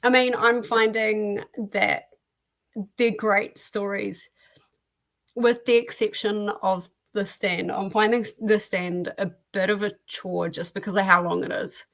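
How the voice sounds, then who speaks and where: A woman's voice: medium pitch, level tone, a little slow, a bit bright. A woman hosting a reading livestream, chatting casually and informally about books. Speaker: host of a reading sprint livestream.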